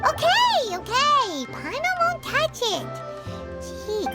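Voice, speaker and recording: A tiny, excitable fairy-like companion chirps in a sky-high voice that leaps all over the place. She talks about herself in the third person. Loud game music plays underneath. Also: takes it slowly, heavily acted. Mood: sulky. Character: tiny chatty floating fairy-like girl companion. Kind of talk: video game cutscene dialogue.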